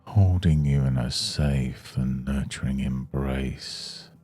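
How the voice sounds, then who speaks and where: A man guiding a yoga nidra meditation in a soothing, hushed, unhurried manner. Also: rumbling low, breathy edge, soft background music. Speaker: meditation guide.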